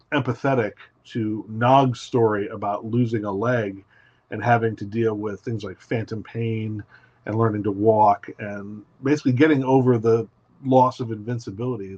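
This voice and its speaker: A man being interviewed as a guest writer, telling his story in an emphatic, animated way. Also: fairly low pitch, muffled recording. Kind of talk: casual interview.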